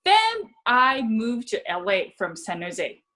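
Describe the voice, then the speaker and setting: A woman from Japan, speaking English with a Japanese accent, telling her story as an actress guest in a casual interview, chatty and quick to laugh. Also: fairly high pitch, very animated, fairly bright tone. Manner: chatty, laughs often, restarts sentences.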